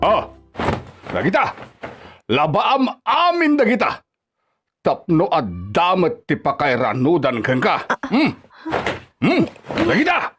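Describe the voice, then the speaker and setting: Guy playing naggy ilocano father in law in a radio drama, scornful, slow drawn out scolding, really high voice. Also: huge pitch swings, fairly bright tone, breathy edge, full character voice. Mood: bossy, scolding. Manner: scolding, exclaiming, sarcastic questions. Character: nagging, scornful father-in-law berating his daughter-in-law as lazy. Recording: music bed behind the dialogue.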